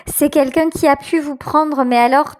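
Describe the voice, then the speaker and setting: A woman giving an unscripted tarot reading directly to the listener, halting and repeating her phrases. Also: sits high, steady tone.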